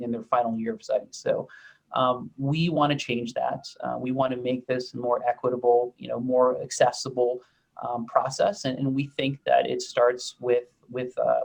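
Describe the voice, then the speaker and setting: A man's voice: mid-range voice, vocal fry, poor audio. A young man, a startup co-founder, answering panel questions thoughtfully with many ums and restarts.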